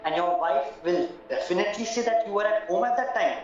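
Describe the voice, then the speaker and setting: A man acting in an audio play as a cautious, polite solicitor who asks careful, formal questions with gentle doubt. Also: high-pitched, lively intonation, a little fast. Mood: measured, probing. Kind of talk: stage-style audio drama acting. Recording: very echoey, muffled, distant, music underneath.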